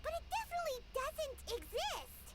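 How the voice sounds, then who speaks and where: A woman's voice: very high voice, wildly swooping pitch, normal speed, very noisy, exaggerated voice. A woman voicing a tiny, naive fairy companion in a video game, childlike and inquisitive. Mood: curious. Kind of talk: cartoonish video game voice acting.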